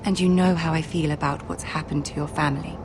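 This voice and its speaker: Gracious young queen-to-be, lowish voice with lots of creak, formal and diplomatic, fantasy game. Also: fairly even intonation, fast talker, noisy background. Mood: gracious, sympathetic. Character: poised, gracious young noblewoman, soon to be queen. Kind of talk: video game drama acting.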